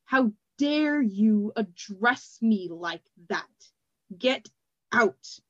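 A woman reader voicing an enraged grown male glassblower who forces out his words slowly and carefully. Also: on the high side, a bit bright, no echo, dull audio. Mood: angry slow. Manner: slow, deliberate, forced words. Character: angry, slow-spoken grown male glassblower.

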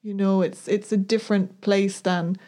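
A woman's voice: mid-range voice, fairly even intonation, normal speed, dark tone. A woman co-hosting a talk podcast, thoughtful and earnest, searching for words as she reflects. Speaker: podcast co-host. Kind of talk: reflective conversational discussion.

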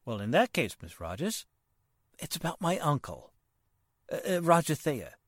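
A polite, worried gentleman in an old-time radio play, acted by a man. He speaks formally and haltingly, his pitch rising and falling widely, in a dry, close recording. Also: very animated, no echo. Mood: hesitant. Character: polite, hesitant, worried nephew of a wealthy man. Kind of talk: 1940s radio drama acting.

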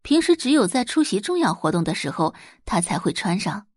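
A woman's voice: medium pitch, sing-song, brisk, darkish tone, no room sound, clean studio sound. A woman narrating a scripted audio drama as a storyteller, in a dramatic reading style.

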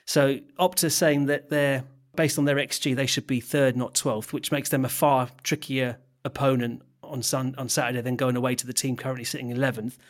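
A man's voice: mid-range voice, level tone, fairly quick. A man co-hosting a casual football podcast, chatty and laughing often, with hesitant restarts as he reads out stats. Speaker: podcast co-host.

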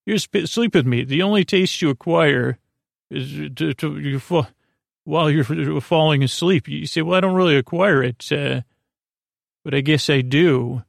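Creaky, meandering male bedtime podcast host. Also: a little high, normal speed, no room sound, studio quality. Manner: meandering, hesitant, forgetful, often losing his thread. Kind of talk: rambling bedtime podcast intro monologue.

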